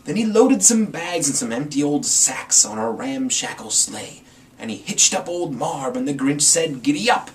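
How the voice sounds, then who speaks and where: A man's voice: medium pitch, animated, unhurried. A man giving a playful, theatrical dramatic reading of a rhyming Christmas story as the storyteller. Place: room with a green screen. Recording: distant mic, some background noise.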